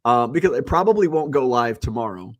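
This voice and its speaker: A man's voice: mid-range voice, lively intonation, fairly quick. Congested man rambling bluntly. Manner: blunt, rambling, swears freely. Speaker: livestream host. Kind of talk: casual solo chat.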